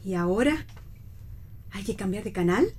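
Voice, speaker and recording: A middle-aged Argentine mother in a radio play. She is chatty, kindly and a little naive, with a medium-pitched woman's voice. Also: animated, moderate pace, a little mellow, slightly rough. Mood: puzzled. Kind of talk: read radio theatre.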